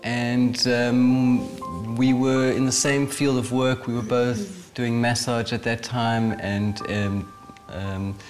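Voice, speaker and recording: A man, speaking in an interview about his work as a therapist, pausing often with fillers. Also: medium pitch, level tone. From South Africa. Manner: hesitant, with many fillers. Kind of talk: reflective interview. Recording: music bed underneath.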